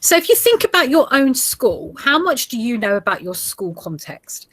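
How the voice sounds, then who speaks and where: A woman's voice: on the high side, normal speed, no echo. Woman teacher presenting in a dry booth. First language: Gujarati heritage, English now first. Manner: steady explanatory delivery with fillers. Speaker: geography teacher.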